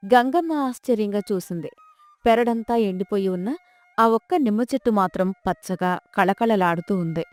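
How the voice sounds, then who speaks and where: A woman's voice: mid-range voice, moderate pace, no echo. A woman narrating a scripted folk tale as a storyteller. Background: soft music.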